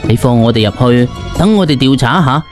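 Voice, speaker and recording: A man's voice: medium pitch, animated, fairly quick, lightly acted. Guy doing a cheeky bossy cantonese monster hunter, audio story, teasing, bit of music. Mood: earnest.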